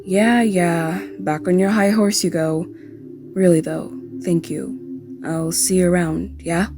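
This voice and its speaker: A woman's voice: lowish voice, fairly even intonation, slow pace, music in the background. A woman playing a rebellious young female artist, casual and sincere, in a voiced roleplay drama. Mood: teasing.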